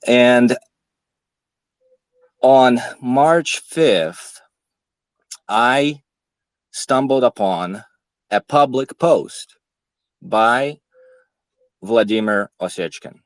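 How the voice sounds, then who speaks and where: Male, 30s, from Ukraine, slow and deliberate monologue, dry close recording. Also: mid-range voice, no echo. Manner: measured, halting, frequent fillers. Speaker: podcast speaker. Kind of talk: monologue, personal account.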